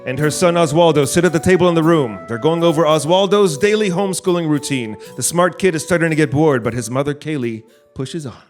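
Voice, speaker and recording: A man's voice: a little high, brisk, hardly any pauses, faint music behind. A man hosting and narrating a live audio play from a theatre stage. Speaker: host and narrator. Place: theatre stage before an audience.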